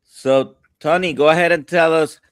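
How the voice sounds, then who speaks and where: A man's voice: a little high, steady tone, smooth, no echo. A doctor from Central Florida hosts a morning livestream in an easygoing way, greeting viewers and inviting his guest to speak. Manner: easygoing, unhurried host.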